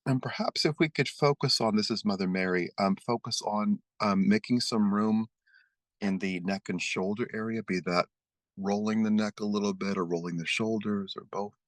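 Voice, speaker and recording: A man leading a guided meditation and prayer, speaking slowly and soothingly as he leads spoken affirmations. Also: on the low side, no echo. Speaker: spiritual meditation leader.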